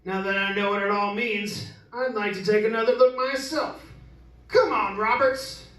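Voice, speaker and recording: A man's voice: high voice, fairly even intonation, moderate pace, a bit bright, far from the mic. An actor playing a brash, plain-spoken skeptical father in a radio horror play, folksy and dismissive. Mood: blustery. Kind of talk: radio horror drama acting. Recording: roomy, off-mic, faint music.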